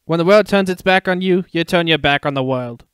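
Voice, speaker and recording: A man voicing a wisecracking, excitable meerkat in a dramatic fan fiction reading, huffy and showy. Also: fairly high pitch, fairly quick, smooth, no echo, studio quality, voice pushed up, lightly acted. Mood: bossy. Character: wisecracking, excitable male meerkat sidekick, brash and cheery.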